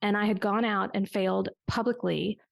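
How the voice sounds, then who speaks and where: A woman's voice: medium pitch, steady tone, normal speed, gravelly, no room sound, clean studio sound. A woman in her forties, a business coach guest on a podcast, telling her story in a reflective way with small restarts. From United States. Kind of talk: conversational podcast interview.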